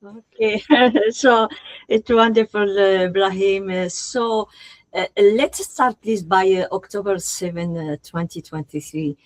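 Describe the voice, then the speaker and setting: A woman's voice: medium pitch, unhurried, no room sound. A woman hosting a conference interview, speaking hesitantly and with many fillers. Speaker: conference host.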